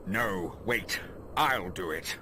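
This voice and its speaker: A man's voice: deep voice, expressive, background noise. An actor playing a pleading, devout old male prisoner in a video game, speaking in a formal, theatrical way. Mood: grave, urgent. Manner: theatrical, formal, drawn-out delivery. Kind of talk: dramatic video game cutscene acting.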